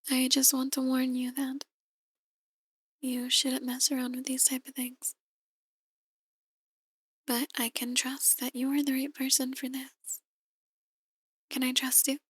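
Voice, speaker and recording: A woman playing a soft-spoken, ominous demon tarot reader in an ASMR roleplay, speaking in a measured, breathy near-whisper. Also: high-pitched, little pitch movement, no echo, full character voice. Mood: calm, inviting. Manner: slow, measured, gently ominous. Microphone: close, dry microphone.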